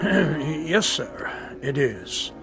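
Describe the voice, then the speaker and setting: An actor playing a deferential, careful club attendant in a radio drama. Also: male voice, a little high, sing-song, extremely slow, music in the background, exaggerated voice. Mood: obliging.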